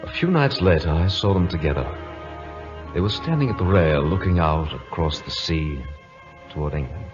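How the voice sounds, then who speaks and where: A man's voice: rumbling low, expressive, normal speed. A man playing a loyal, earnest naval officer who narrates dramatically in an old-time radio play. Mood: solemn narrating. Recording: muffled old radio broadcast, music behind.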